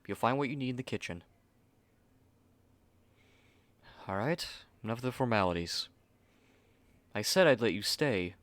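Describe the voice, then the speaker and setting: A man's voice: medium pitch, a bit bright, dry recording. A man playing a haughty, fussy young manga artist in an ASMR roleplay, speaking curtly and articulately to the listener on a close mic. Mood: composed, aloof.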